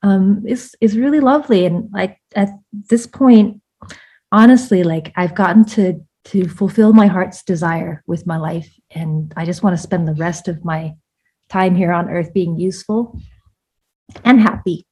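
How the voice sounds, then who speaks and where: A woman from Vietnam, an author talking through her thoughts in a relaxed interview, with lots of fillers and restarts. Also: on the low side, a little slow, slightly rough. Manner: reflective, many fillers and restarts, laughs often. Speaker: author and guest. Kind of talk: casual interview conversation.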